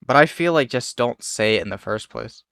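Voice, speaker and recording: A man's voice: medium pitch, expressive, moderate pace, gravelly, fairly bright tone, no room sound, clean studio sound. A young man chatting casually and easily with a friend, laughing often. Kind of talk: casual chat.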